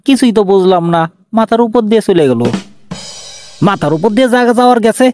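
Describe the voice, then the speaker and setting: Man as a high-pitched, flustered Bangladeshi cartoon politician. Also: lively intonation, quick pace, darkish tone, soft background music. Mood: bewildered. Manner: repetitive, self-important, evasive. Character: flustered, defensive male member of parliament. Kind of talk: cartoon dialogue.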